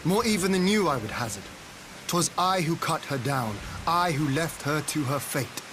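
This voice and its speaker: Actor as young lord for game drama: solemn, reassuring, formal, slightly high male voice, rain noise behind. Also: lively intonation, natural voice. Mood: grave. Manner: formal, archaic, measured. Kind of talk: video game cutscene acting.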